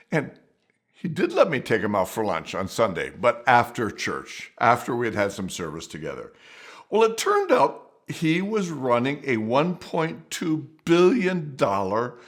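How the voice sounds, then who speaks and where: A middle-aged man tells a story from his own past at home, in a voice of ordinary middle pitch. He talks loosely and conversationally, circling back, restarting phrases and dropping in little asides as he goes. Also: a bit bright. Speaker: storyteller, mission worker. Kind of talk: casual spoken anecdote.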